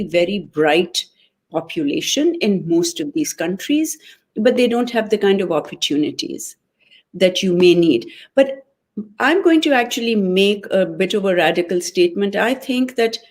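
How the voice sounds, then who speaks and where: Woman from Pakistan, interview guest, opinionated, measured delivery. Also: on the low side, darkish tone. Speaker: guest, political club member. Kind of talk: conversational interview.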